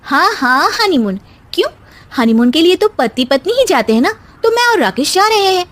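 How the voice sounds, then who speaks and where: Woman acting young daughter-in-law, high pitch, expressive, firm, polite yet cutting, moral-story cartoon style. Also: normal speed, full character voice. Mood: pointed. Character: young, composed but assertive newlywed daughter-in-law.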